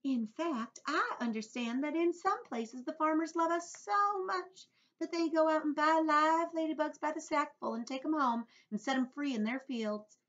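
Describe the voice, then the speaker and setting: A woman's voice: sits high, moderate pace, fairly bright tone, pitched above the performer's own voice. A woman voicing a small, genteel female ladybug who speaks with refined, modest pride. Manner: refined, modest, slightly boastful. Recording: dull lo-fi audio.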